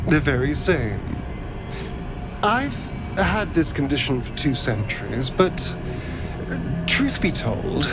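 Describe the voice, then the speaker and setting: Suave male vampire, teasing, theatrical, unhurried pace, very lively pitch, game dialogue, loud music. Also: heavily acted. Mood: flirtatious. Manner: theatrical, teasing, purring, swooping. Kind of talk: video game character dialogue.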